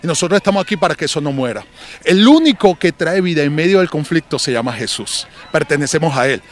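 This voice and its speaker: A man's voice: a little high, animated, fast. A man giving an earnest, preacherly interview answer as a volunteer leader outdoors.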